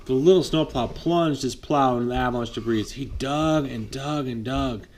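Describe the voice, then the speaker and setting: A man reading a picture book aloud to his child at bedtime, lively, pausing to chat with the child. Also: medium pitch, slight background noise. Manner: lively read-aloud, voices for the trucks, chats with the child. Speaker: father reading a bedtime story.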